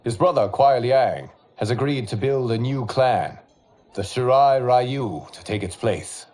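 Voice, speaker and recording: An actor playing a wise, serene monk guardian who speaks in a formal, measured way. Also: male voice, medium pitch, darkish tone, close to an everyday voice. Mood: solemn. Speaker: leader of the champions.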